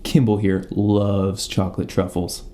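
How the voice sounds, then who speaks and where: A man's voice: lowish voice, flat delivery, measured pace, dark tone, natural voice. A man playing a stern, methodical detective in a mock police interrogation. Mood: stern, deliberate.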